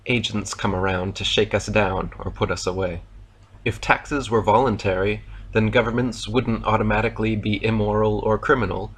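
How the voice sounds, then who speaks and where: Brief: American male narrator, low and even, steady polemical voice-over. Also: husky. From United States.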